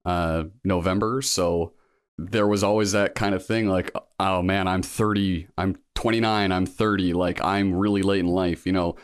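A man's voice: sits low, fairly even intonation, normal speed. A man in his thirties, a guest in a casual interview, talking in a reflective, rambling way with many fillers and restarts. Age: 30s. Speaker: guest, law school applicant.

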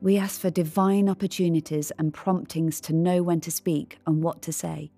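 Low, mellow woman reading a devotional. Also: steady tone, moderate pace, dry recording, faint music behind. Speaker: devotional reader. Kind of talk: scripted devotional narration.

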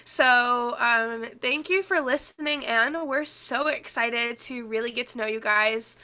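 A woman's voice: on the high side, a little slow, sharp tone. A young woman co-hosting a casual podcast chat, her voice stuffy and congested from illness, talking in a chatty way with lots of laughs and ums. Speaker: podcast co-host.

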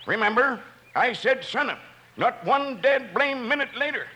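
An actor playing a stern, combative clan patriarch in an old-time radio Western, with an American Western drawl. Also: male voice, very high voice, animated, moderate pace. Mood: defiant, threatening. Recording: noisy old radio recording.

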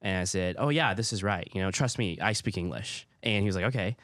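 A man's voice: low-pitched, brisk, fairly bright tone, no room sound, studio quality. A young man from Hawaii, co-hosting a podcast and telling personal stories candidly with lots of "like.". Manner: candid, filler-heavy storytelling with frequent "like". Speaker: podcast co-host. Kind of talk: casual chat.

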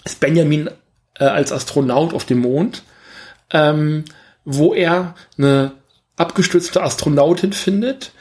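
A man's voice: fairly high pitch, takes it slowly, darkish tone. A man co-hosting a casual film review podcast, talking like an enthusiastic fan who often stops to correct himself. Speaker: podcast co-host reviewing films.

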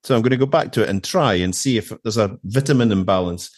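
Low-voiced British man telling anecdotes. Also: quick pace, dry recording, studio quality. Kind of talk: casual conversation, anecdotal.

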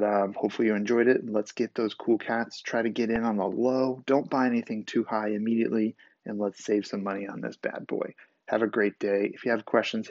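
Raspy, gravelly podcast host, low voice. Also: male voice, darkish tone, no echo, highs cut off. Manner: rambling, with fillers and restarts. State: groggy after a vaccine. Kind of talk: casual solo podcast talk.

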